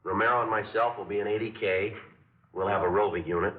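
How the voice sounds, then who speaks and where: Man playing a steady, clipped police sergeant for an old radio drama, very low voice, thin distant broadcast sound with music. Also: fast talker, echoey room, narrow, tinny audio, close to an everyday voice. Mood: briefing. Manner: clipped, matter-of-fact delivery. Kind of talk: 1950s radio drama acting with narration.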